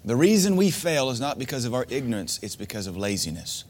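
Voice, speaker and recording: A man's voice: medium pitch, very animated, quick pace, husky. Emphatic male preacher. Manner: emphatic, repetitive preaching with rhetorical questions. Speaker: pastor. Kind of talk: sermon.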